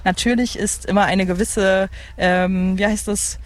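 Festival radio host, thirties, medium pitch, enthusiastic, casual, gropes for words. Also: fairly even intonation, unhurried, dry recording. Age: 30s. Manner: enthusiastic, hesitant searching for words. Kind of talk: casual live radio chat. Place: open lounge area at a festival site. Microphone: broadcast microphone.

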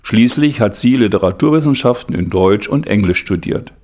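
A male reporter giving a scripted narration with an even, measured delivery. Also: fairly low pitch.

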